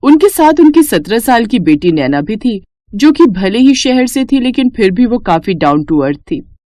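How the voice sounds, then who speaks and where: A woman's voice: fairly high pitch, big pitch swings, normal speed, dark tone, no room sound, clean studio sound. A woman storyteller giving scripted narration for a cartoon moral story.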